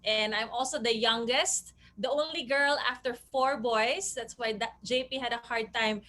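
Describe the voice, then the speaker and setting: Bright-voiced Filipino woman, interview guest. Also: fairly high pitch, normal speed. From Manila, Philippines. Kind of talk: casual conversational interview answers.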